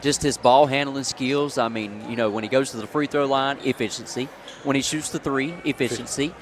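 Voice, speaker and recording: A man's voice: medium pitch, fairly even intonation, fairly quick. A man giving casual, folksy basketball color commentary on a live radio broadcast. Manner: folksy, conversational, peppered with "man". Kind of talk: casual pregame analysis. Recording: noisy gym ambience behind live broadcast.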